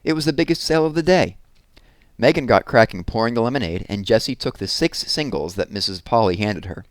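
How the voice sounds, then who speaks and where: A man reading a children's book aloud in an expressive storytelling style, leaning on key words for drama. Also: medium pitch, fairly quick, dry recording.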